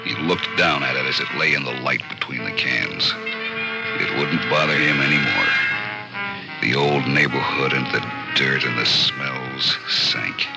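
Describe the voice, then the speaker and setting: Very deep-voiced grim crime narrator. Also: male voice, unhurried, creaky, full character voice, rough recording. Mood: heavy, bleak. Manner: dramatic, emphatic repetition. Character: grim hard-boiled crime story narrator, brooding and intense. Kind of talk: 1950s-60s radio thriller acting. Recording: old radio recording, loud dramatic music.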